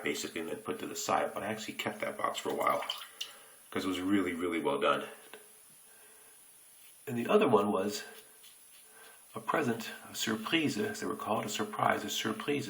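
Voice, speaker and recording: An American man telling a childhood story in a relaxed, reflective way while shaving, recorded on a phone camera in a bathroom. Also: fairly low pitch, creaky, rough recording. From United States. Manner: reflective, unhurried anecdotes with pauses. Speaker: shaving reviewer.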